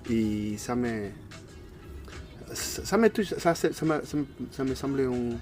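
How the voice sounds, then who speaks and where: A man's voice: mid-range voice, lively intonation, measured pace, a little mellow, faint music behind. An Argentine man in his thirties, a native Spanish speaker, talking casually in an interview and searching for words with a Spanish accent. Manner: hesitant, searching for words in a second language. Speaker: interview guest.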